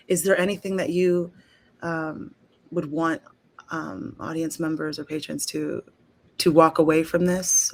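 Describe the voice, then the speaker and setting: A woman interviewing in a casual, thoughtful conversational style, attentive and affirming as she responds. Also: low-pitched. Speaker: interviewer and actor.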